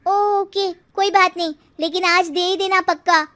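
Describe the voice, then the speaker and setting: A woman's voice: very high voice, fairly even intonation, unhurried, fairly bright tone, pitched above the performer's own voice. A woman voicing a demanding, petulant college-age daughter in a moral story, whiny and insistent.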